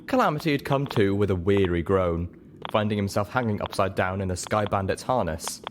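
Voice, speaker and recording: Male audiobook narrator, very deep voice, noisy home recording with clicks. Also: fairly quick. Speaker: audiobook reader. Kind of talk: scripted story narration.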